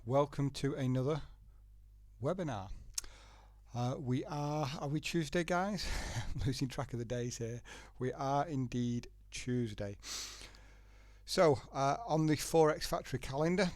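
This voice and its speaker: A man's voice: medium pitch, unhurried, a little mellow, dry recording, clean studio sound. A man with a head cold and a blocked nose, hosting a live trading webinar and walking through charts step by step in a chatty way. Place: working from a laptop in a home setting. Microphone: laptop.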